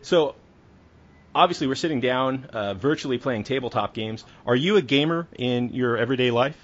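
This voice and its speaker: A man's voice: mid-range voice, moderate pace. Upbeat man interviewing, dull audio. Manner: friendly, upbeat questioning with fillers. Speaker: podcast host and interviewer. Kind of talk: casual interview.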